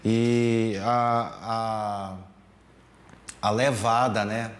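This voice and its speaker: A man from teaches percussion at an unhurried, drawn-out pace. He hesitates often, adds little tag questions, and sounds like he is recording in a boomy room. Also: little pitch movement. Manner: slow, hesitant, many fillers and tag questions. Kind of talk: relaxed music lesson explanation. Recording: echoey room.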